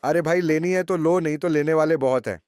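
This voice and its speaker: A man's voice: fairly high pitch, a little fast, darkish tone, no echo, clean studio sound, natural voice. A man acting as a miserly, boastful village shopkeeper in a cartoon story, bargaining in a brusque, self-satisfied manner. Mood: irritated. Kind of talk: Hindi cartoon story dubbing.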